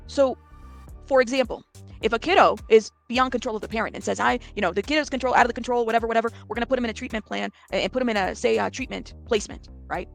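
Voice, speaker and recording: Fast-talking, higher-voiced American woman commentator. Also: a bit bright. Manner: emphatic, blunt, with rhetorical questions and tag words like okay and right. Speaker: commentary channel host. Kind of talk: informal reaction commentary, explaining to an audience.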